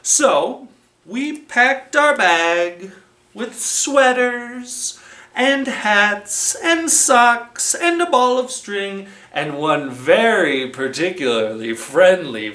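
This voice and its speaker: A children's library storytime presenter reading a story aloud in a deliberate, expressive way, recorded in a roomy space at some distance from the microphone. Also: mid-range voice. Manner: slow, deliberate, expressive read-aloud delivery. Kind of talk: animated storytelling with felt board.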